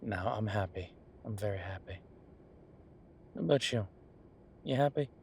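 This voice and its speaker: Low-voiced, easygoing chef boyfriend acted by a man, noisy backdrop. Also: vocal fry, a little mellow. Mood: thoughtful. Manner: casual, halting, reassuring. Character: easygoing, devoted boyfriend, small-town restaurant chef. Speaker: voice actor in a roleplay audio. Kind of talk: intimate conversational roleplay acting.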